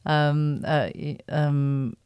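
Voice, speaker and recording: A woman's voice: low-pitched, level tone, very slow, darkish tone, smooth, no echo, studio quality. A woman chatting casually as a guest on a podcast, a voice actress who searches for her words and giggles easily. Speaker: guest, voice actress in an audio drama series. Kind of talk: casual podcast interview chat.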